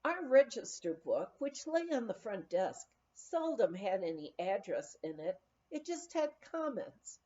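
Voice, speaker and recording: Expressive woman storyteller, unhurried and lively. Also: mid-range voice, lo-fi sound. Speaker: audiobook reader. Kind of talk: expressive memoir reading, storytelling.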